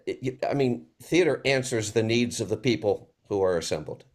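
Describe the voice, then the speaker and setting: A man on a discussion panel, speaking reflectively and haltingly as he searches for words. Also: fairly low pitch, animated.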